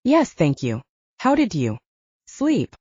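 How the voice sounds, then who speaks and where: A woman voices a hesitant, smitten young heroine in an audiobook. She sounds awkward and guarded, with a mellow tone and lively pitch. The recording is dry and a bit dull. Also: slow, slightly rough, dry recording, exaggerated voice. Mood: polite, guarded. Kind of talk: audiobook character dialogue.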